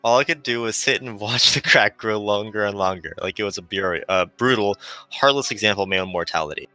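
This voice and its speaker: A man voicing a gentle, melancholy female shuttle AI, earnestly explaining as it fades in a visual novel. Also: fairly low pitch, fairly quick, no room sound, faint music behind. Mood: regretful.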